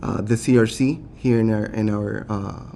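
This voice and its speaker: A man with a Latin American Spanish accent speaks in a low, gravelly, mellow voice, earnest and halting, full of fillers and restarts. He is a city council candidate answering at a forum. A faint rumble sits under the recording. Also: fairly even intonation.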